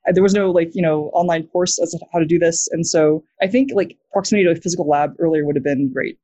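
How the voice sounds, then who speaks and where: A young woman with an American accent, a guest talking casually on a video call, quick and halting, full of fillers and restarts. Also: fairly low pitch, dark tone. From New Zealand.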